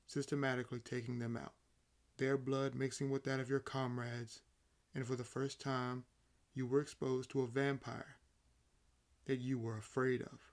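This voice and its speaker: A man narrating a scripted second-person story with measured, deliberate phrasing. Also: mid-range voice.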